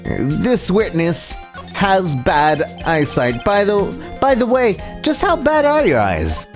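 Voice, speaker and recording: A defense attorney from a courtroom game, played by a woman, speaks in a halting, flustered stammer. Words get repeated while pressing the point. The pitch sits at an average female level, with light music underneath. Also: big pitch swings. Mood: halting, puzzled. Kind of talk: amateur voiced playthrough of courtroom game script.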